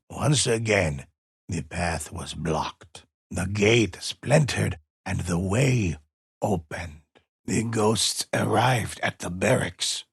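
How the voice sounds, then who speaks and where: An old male calligrapher recounting legends in a video game. His voice is deep and heavily rasped, with a creaky gravel in it. He narrates in a calm, measured, portentous way, full of mystery. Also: no echo, clean studio sound, exaggerated voice. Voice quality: husky, gravelly.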